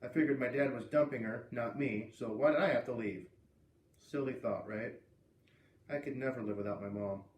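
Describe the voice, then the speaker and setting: An earnest, bookish schoolgirl voiced by a man confides gently in a classmate, in a storybook read-aloud. The voice comes from a little way off in a roomy space, with faint noise. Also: mid-range voice, normal speed, close to an everyday voice. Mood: hurt, candid. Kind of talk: children's novel read-aloud. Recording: roomy, distant mic, faint background noise.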